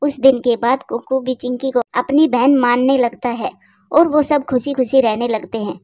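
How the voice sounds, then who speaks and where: A woman's voice: high voice, steady tone, normal speed, warm tone, no room sound, studio quality. A woman narrating a scripted children's story as the storyteller.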